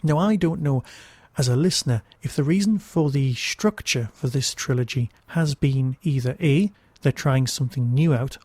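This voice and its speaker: A man's voice: on the high side, warm tone, no echo. A man hosting a podcast, giving casual, digressive review commentary with wry asides.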